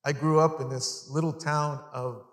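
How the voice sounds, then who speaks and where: A man's voice: a little high, fairly even intonation, moderate pace. Middle-aged male preacher in an echoey hall. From Long Island, New York, United States (born in El Salvador). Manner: conversational, repeats phrases for emphasis, asks the audience questions. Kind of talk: sermon to a live congregation. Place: large indoor church hall, speaking to an audience. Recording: echoey hall with long reverb.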